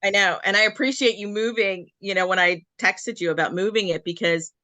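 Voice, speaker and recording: A woman's voice: mid-range voice, a little fast, sharp tone, no room sound. A woman guest in a casual video-call interview, talking earnestly with frequent fillers and restarts. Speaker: guest, occupational therapist and Reiki practitioner.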